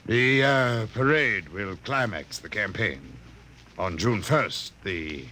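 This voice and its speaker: An actor in a 1950s radio drama playing a mysterious, courteous client who speaks formally and precisely on a vintage recording. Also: male voice, fairly low pitch, lively intonation, sharp tone, slight background noise, heavily acted. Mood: courteous, commanding. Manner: formal, deliberate, precise diction. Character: mysterious, courteous, secretive male client with grand plans.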